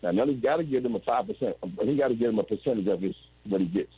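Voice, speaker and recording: Man, forties, guest on a video call, husky, warm tone, rambling, restarts. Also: lowish voice, very fast, poor audio. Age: 40s. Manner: rambling, with frequent restarts and "you know what I'm saying". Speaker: interview guest. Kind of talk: candid storytelling over a video call.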